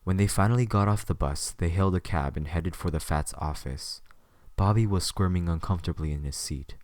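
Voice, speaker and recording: A man's voice: low voice, fairly quick, darkish tone, no room sound, studio quality. A man reading scripted third-person story narration as the narrator of an audio drama.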